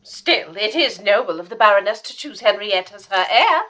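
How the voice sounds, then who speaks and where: A woman's voice: mid-range voice, expressive, moderate pace, bright, forceful tone, rough recording. A woman playing an eager, chatty, deferential assistant to a lady detective in a period radio drama. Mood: curious, gossipy. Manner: inquisitive, deferential, gossipy.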